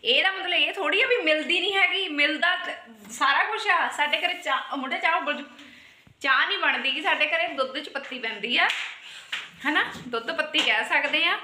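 Bright-voiced Punjabi woman filming at home. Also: high-pitched, normal speed, reverberant. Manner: chatty and teasing, laughs often. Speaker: family vlogger. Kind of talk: casual vlog chat. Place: home kitchen and hallway, indoors. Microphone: phone held at arm's length.

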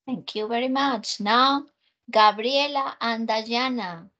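A woman's voice: fairly high pitch, sharp tone. Woman teaching over a muffled video call. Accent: Peruvian Spanish. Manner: switches between English and Spanish, repeats instructions slowly. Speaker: English teacher. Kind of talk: online class instruction.

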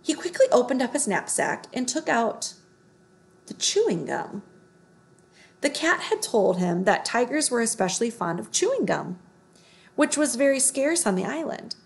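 A woman in her thirties narrates a children's story with expressive emphasis. Her voice has plenty of fry, and she is recorded at home on a laptop microphone. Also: on the high side, moderate pace. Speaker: read-aloud narrator. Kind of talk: expressive children's book reading. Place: living room on a couch, indoors.

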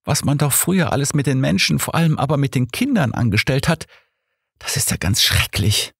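Man voicing an inquisitive woman, pitched up. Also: expressive, fairly quick, gravelly, a bit bright, husky, dry recording, clean studio sound, full character voice. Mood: troubled. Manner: direct, questioning. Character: spirited, inquisitive woman companion of a detective. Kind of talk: audiobook character reading.

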